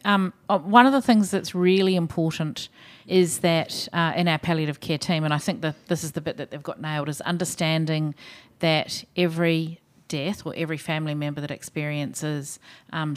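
Low-voiced New Zealand woman, thoughtful. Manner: thoughtful, measured, frequent ums. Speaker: guest. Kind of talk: podcast interview.

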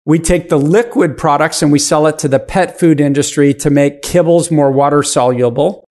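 A man's voice: on the high side, moderate pace, darkish tone. A man in his fifties, a podcast guest explaining his business in casual interview talk. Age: 50s.

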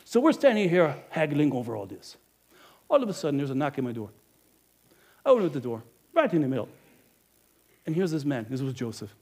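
A man playing a businesslike village innkeeper in an animated first-person monologue, emphatic and speaking straight to the audience. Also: on the high side, a little fast, pauses often. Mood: haggling. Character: businesslike male village innkeeper, proud then guilty.

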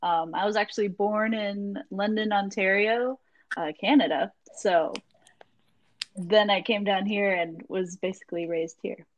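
A woman's voice: medium pitch, steady tone, a little slow, no room sound, highs cut off. Woman, young adult, from Florida, podcast guest, rambling, filler-heavy, dry recording. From Jacksonville, Florida. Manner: rambling, many ums and likes. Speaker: magazine founder, guest. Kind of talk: casual interview chat.

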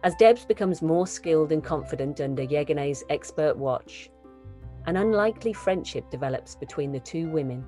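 A woman announcer reads a scripted introduction with composure. Her voice is low and mellow. Music plays faintly beneath her even phrasing. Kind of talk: scripted narration. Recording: music bed behind voice.

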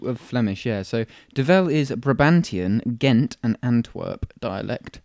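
A man's voice: medium pitch, expressive, unhurried, darkish tone, dry recording. Jokey British man in casual, close, dry-sounding chat. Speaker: co-host of a chat show about beer and snacks.

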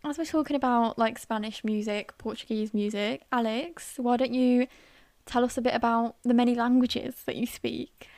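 A woman's voice: fairly high pitch, fairly even intonation, moderate pace, few pauses, a little mellow, no echo. A young woman, chatting on a podcast, encouraging the others and asking them questions. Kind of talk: casual chat.

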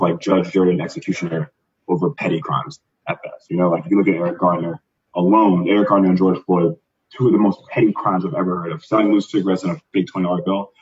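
A man talking quickly and emphatically in a casual podcast discussion. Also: sits low, breathy edge. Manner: rapid, animated, emphatic. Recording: dull lo-fi audio.

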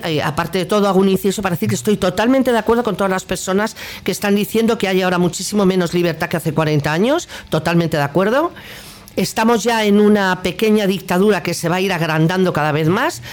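Female political commentator, Spain accent; blunt, combative monologue with lively intonation and music underneath. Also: mid-range voice. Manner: combative, indignant, blunt with coarse slang. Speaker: host of a political opinion segment. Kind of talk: opinion monologue.

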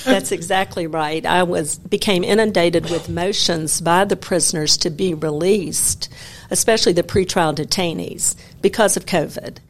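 A woman in her sixties from Memphis, a retired judge telling stories from her career in a measured, wry way. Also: lowish voice, a little hiss or hum. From Memphis, Tennessee. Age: 60s. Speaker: guest, retired federal judge. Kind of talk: conversational interview.

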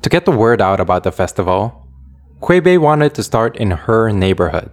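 Low-pitched man narrating. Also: sing-song, normal speed. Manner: clear, storytelling delivery. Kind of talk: scripted documentary narration.

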